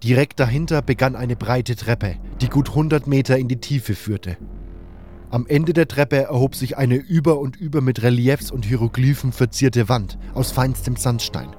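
A man's voice: mid-range voice, moderate pace, darkish tone, slight background noise. A man reading scripted first-person narration for a fantasy audio drama. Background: faint music.

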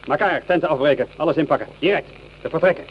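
An actor playing a bossy army lieutenant in a radio play, barking curt commands. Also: male voice, fairly high pitch, fast, a little hiss or hum, natural voice. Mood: curt, commanding. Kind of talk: Dutch radio play acting. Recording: dull old radio recording.

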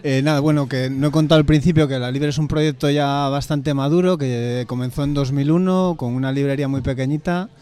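A man with an accent from Spain answering informally in a radio interview as a member of a bookshop collective, halting and repeating words as he explains. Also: mid-range voice, mellow, clean tone.

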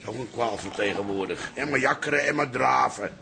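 An actor playing a nostalgic, stubborn, boastful old former pub landlord in a radio comedy drama, opinionated and grumbling. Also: male voice, medium pitch, normal speed, vocal fry, noisy background, close to an everyday voice. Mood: grumbling, indignant. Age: older.

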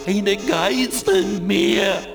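A man playing a whiny, agitated computer virus henchman with a high, creaky voice in a children's radio play. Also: extremely slow, over music, heavily acted. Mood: anxious complaining.

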